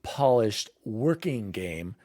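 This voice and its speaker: A man's voice: on the low side, very animated, slow pace, warm tone, dry recording, studio quality. A man hosting a casual gaming podcast, talking in rambling bursts with frequent restarts. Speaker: podcast host.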